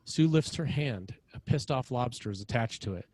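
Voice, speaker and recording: A man's voice: medium pitch, lively intonation, a little fast, a little mellow, dry recording. A man reads scripted scene directions as the narrator of a screenplay table read.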